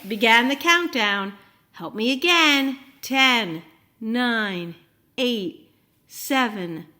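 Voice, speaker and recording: A woman reading a story aloud to young children, animated and encouraging, often putting questions to her listeners. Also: a little high.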